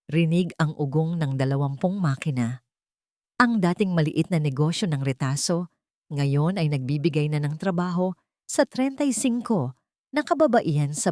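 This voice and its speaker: A Filipino woman narrates a dramatic story. Her voice sits a little low but moves animatedly up and down. The recording is dry and close. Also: darkish tone, no room sound.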